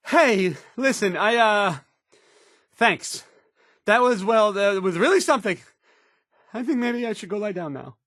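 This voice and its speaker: A timid, self-doubting young radio DJ for a video game. His pitch swings widely as he stammers and hesitates his way through apologetic patter. The recording is close and very dry. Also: a bit bright, no room sound, heavily acted. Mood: stunned. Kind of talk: post-apocalyptic video game voice acting.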